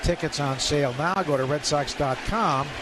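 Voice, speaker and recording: Male radio baseball play-by-play announcer, higher-pitched, clipped delivery, live broadcast with heavy background noise. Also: normal speed. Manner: clipped phrases, rising to excited calls on big plays. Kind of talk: live radio sports commentary. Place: ballpark broadcast booth.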